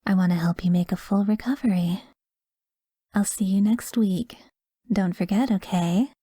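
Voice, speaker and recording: Casting: actress as obsessive, doting female therapist for ASMR roleplay; mellow, breathy, coaxing delivery, close dry mic. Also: medium pitch, moderate pace, no echo, lightly acted. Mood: gentle, coaxing. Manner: soothing, coaxing, often whispery. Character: doting, obsessive woman therapist, soothing and possessive. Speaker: audio roleplay performer. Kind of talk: soft-spoken ASMR roleplay. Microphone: close microphone, dry.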